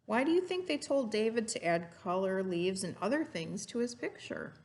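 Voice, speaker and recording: Expressive woman reading aloud, slightly creaky voice. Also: mid-range voice, moderate pace. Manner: didactic, asks the listener questions. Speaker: teacher reading a picture book aloud. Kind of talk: read-aloud storytelling with explanatory asides to children.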